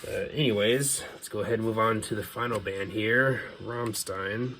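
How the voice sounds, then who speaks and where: A man's voice: lowish voice, normal speed, a bit bright. A man giving casual, unscripted commentary as a video host, offhand and hedging his opinions. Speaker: video host showing a music CD collection. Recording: clear background noise.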